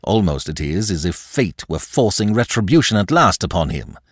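Man playing a stern, low-toned sardonic young avenger. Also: very animated, moderate pace, no room sound, clean studio sound. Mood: cold irony. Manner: stern, commanding, ironic. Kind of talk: dramatic audiobook character acting.

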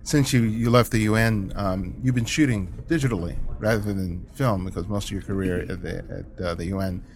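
A man's voice: fairly low pitch, fairly even intonation, fairly quick, few pauses. A man hosting a podcast who asks long, rambling interview questions full of restarts. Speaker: podcast host.

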